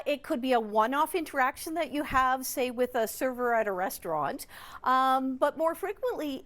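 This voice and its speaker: Casting: woman, Canadian accent, notably high voice, chatty expert guest who explains through animated everyday examples. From Newfoundland and Labrador, Canada. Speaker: psychologist, regular TV guest expert. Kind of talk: conversational interview explanation.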